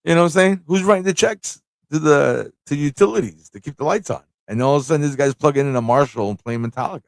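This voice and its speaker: A man's voice: on the high side, quick pace, vocal fry, no echo. A man hosting a livestream fan chat, talking in a rambling, informal way with frequent rhetorical tag questions. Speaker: livestream talk show host.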